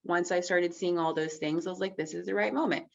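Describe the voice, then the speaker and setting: A woman from Texas with a lowish voice talks through a casual interview, reflective and relaxed, leaning on "like" and "um". Her audio sounds dull and muffled, like a lo-fi recording. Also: a little fast, vocal fry. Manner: reflective, relaxed, many "like" and "um" fillers. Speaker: actress guest.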